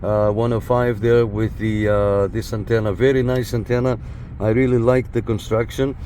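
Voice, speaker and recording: A man's voice: fairly low pitch, flat delivery, moderate pace, dark tone. Man demonstrating radio gear over noise. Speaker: host of a CB radio antenna test. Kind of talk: casual hands-on demonstration with radio call talk. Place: inside a parked car and outside beside it. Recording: noisy car and outdoor background.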